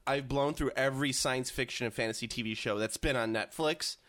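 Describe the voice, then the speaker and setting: A man's voice: medium pitch, a little fast, a bit bright. An American man in his thirties chatting casually and jokingly as a co-host, opinionated and repeating words as he gets going. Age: 30s.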